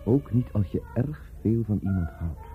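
A man's voice: lowish voice, animated, moderate pace, lightly acted. A man playing a grave, sorrowful father, speaking in a solemn, subdued, firm way in a Dutch radio drama. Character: grave, sorrowful father speaking gently but firmly to child. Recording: muffled, music behind.

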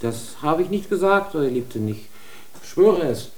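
Humble, fearful accused for a radio drama, slow and submissive with a lively, rising and falling voice. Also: male voice, fairly high pitch. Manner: pleading, submissive, deferential. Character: humble, frightened male accused before a church tribunal.

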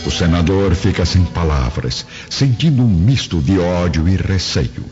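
A man's voice: low voice, lively intonation, measured pace, darkish tone. A man narrating a radio drama in a dramatic, scripted storytelling style. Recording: muffled recording with music bed.

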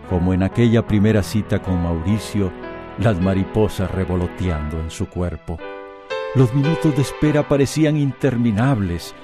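An actor playing a solemn, romantic narrator, reading scripted narration for a Spanish-language radio soap. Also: male voice, on the low side, natural voice. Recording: music bed behind narration.

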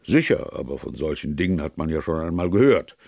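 A man's voice: rumbling low, sing-song, brisk, gravelly, darkish tone, no echo, clean studio sound, heavily acted. A man voicing a courteous but frosty old upper-class banker in a storybook reading, cool and reserved. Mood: dismissive. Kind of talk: audiobook character dialogue.

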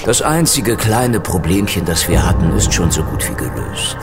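Very deep, ruthless old-school general. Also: male voice, sing-song, normal speed. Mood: assured, menacing. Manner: boastful, formal, military self-assurance. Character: proud, ruthless ex-East German major general turned security boss. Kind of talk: German audio drama acting. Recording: music playing behind the voice.

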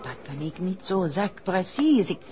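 An actress playing a dramatic fortune teller with a French accent, speaking in halting broken phrases full of warning in a 1960s radio play. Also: female voice, lowish voice, big pitch swings, a little mellow, a little hiss or hum. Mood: ominous, searching. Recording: dull old radio recording, faint crowd.

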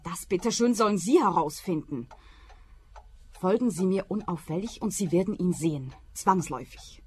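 A woman's voice: fairly low pitch, animated, moderate pace, a little mellow, slight background noise, natural voice. An actress playing a brazen, paranoid woman client in a radio play, insistent and snappy. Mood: haughty.

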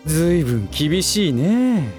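Give this to a smooth, scheming gentleman of business with a fairly high voice. He speaks softly polite words with a teasing, condescending edge, and his pitch swings freely as in a polished audio drama. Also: big pitch swings, unhurried, faint music behind. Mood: smooth, needling. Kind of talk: Japanese voice drama acting.

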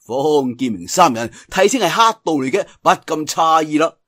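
A man's voice: a little high, lively intonation, a bit bright, dry recording, clean studio sound. A man narrating a martial arts tale in theatrical, colloquial Cantonese storytelling style. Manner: theatrical, colloquial telling with drawn-out sentence particles. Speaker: audiobook storyteller.

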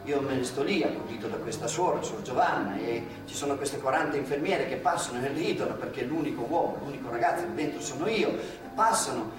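An actor playing an older former partisan man, recalling his youth with earnest, measured storytelling on stage. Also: mid-range voice, animated, music in the background, close to an everyday voice. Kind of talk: stage monologue in a radio play. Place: theatre stage. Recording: very echoey stage from far off.

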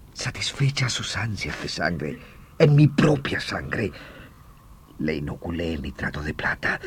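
Deranged, guilt-torn lover in radio horror; halting whispers to outcries, very wide pitch swings. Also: male voice, a little mellow. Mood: hushed confession. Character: anguished, deranged man confessing he killed his beloved. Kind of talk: melodramatic Spanish-language radio horror acting.

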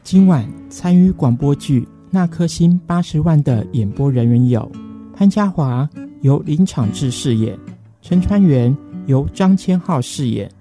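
A man's voice: fairly high pitch, expressive, measured pace. A man with a Taiwanese Mandarin accent, hosting a late-night radio show in a scripted presenting style. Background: soft guitar music.

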